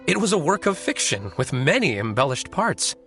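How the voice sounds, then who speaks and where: A man voicing a weary, composed novelist and confessed avenger in a video game, speaking politely in measured, articulate phrases. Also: fairly high pitch, big pitch swings, a bit bright. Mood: bitter. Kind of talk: dramatic video game voice acting. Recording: music behind the voice.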